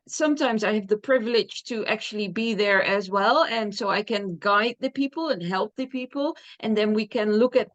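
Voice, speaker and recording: A woman with a Dutch accent talks at an unhurried pace as an interview guest. She sounds enthusiastic and chatty, laughing often and filling pauses with "uh" and "um". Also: on the high side, lo-fi sound. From Netherlands. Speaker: dog trainer guest. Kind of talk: casual interview chat.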